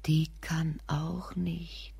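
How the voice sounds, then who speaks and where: Radio play lead: lonely, anxious woman, dark low murmur, whispered fragments, very dry sound. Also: level tone, no room sound, full character voice. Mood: bleak, brooding. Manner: breathy whispering, halting fragments. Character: lonely, anxious, bitter woman in bleak slum. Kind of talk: literary radio drama monologue and dialogue.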